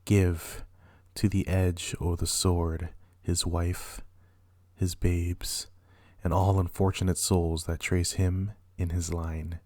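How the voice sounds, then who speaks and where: A man's voice: sits low, level tone, takes it slowly, mellow, no echo, clean studio sound. A man playing a tormented, imperious and ruthless king, declaiming weightily in a dramatic Shakespeare reading. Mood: grimly resolved.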